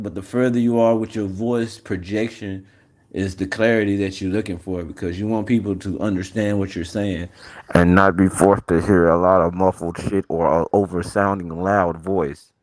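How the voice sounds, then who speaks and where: Rambling low-voiced man in casual talk. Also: fairly even intonation, normal speed, a little mellow. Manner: rambling, slangy, restarts often. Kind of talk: casual chat.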